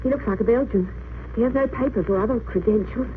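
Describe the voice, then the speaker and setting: A woman playing an anxious, excitable young hospital assistant, fretful and pleading, in a 1930s radio drama. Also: fairly high pitch, quick pace, lightly acted. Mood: worried, pleading. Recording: tinny, very noisy old radio recording.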